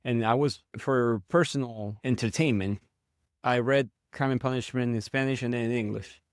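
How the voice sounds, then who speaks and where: A man speaking as an interview guest in a casual conversation, reflective and halting, often restarting his sentences. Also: medium pitch, fairly even intonation, smooth, no room sound, clean studio sound. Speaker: guest, founder of a literature platform.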